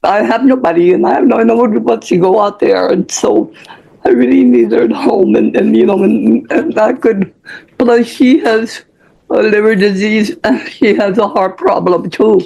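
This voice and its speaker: Older woman pleading, heavy vocal fry, husky. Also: a little high, normal speed, dark tone, rough recording. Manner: rambling, pleading. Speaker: witness, defendant's mother. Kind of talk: emotional courtroom plea. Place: courtroom.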